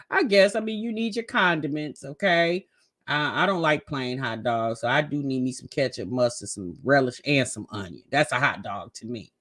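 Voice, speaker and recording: A woman's voice: deep voice, pushed and bright. An American woman giving casual, gossipy reaction commentary in a sassy, conversational way. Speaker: host reacting to a family vlog.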